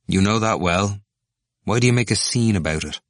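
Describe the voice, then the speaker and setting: A man's voice: lowish voice, normal speed, no echo, studio quality, natural voice. A man voicing a wary young male student who speaks in a guarded, polite way.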